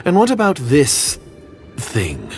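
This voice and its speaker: An actor playing a wary, disdainful agent in a video game, stern, formal and measured in speech. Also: male voice, medium pitch, wildly swooping pitch, darkish tone, faint music behind, full character voice. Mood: suspicious. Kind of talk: video game dialogue acting.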